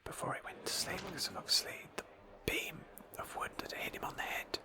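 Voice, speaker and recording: A woman narrating a roleplay game playthrough in a breathy ASMR whisper, slowly and with pauses.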